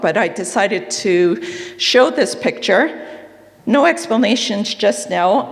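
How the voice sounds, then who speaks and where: A woman with a Canadian accent giving an earnest, candid personal talk at a conference, with fillers and small asides. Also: mid-range voice. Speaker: conference speaker. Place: conference hall. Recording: long reverb of a hall.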